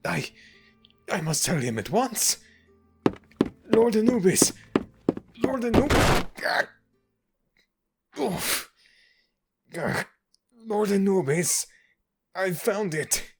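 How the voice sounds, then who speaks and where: A man voicing a dutiful temple servant of a god in an audio roleplay, speaking in a hushed, reporting way. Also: high-pitched, big pitch swings, extremely slow, creaky, pauses often, soft background music, exaggerated voice. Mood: excited. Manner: hushed reporting, then breathless urgency.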